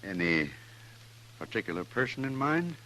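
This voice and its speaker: An actor playing a fussy, obliging frontier banker, formal and ingratiating, in a 1950s radio western. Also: male voice, medium pitch, big pitch swings, normal speed, pushed and bright. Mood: guarded. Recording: vintage radio broadcast with faint noise.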